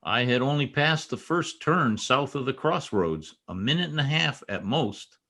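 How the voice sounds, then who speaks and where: Husky, animated young trooper played by a man. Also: mid-range voice, moderate pace. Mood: earnest, insistent. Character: earnest, insistent young state trooper, loyal friend. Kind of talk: old-time radio drama acting.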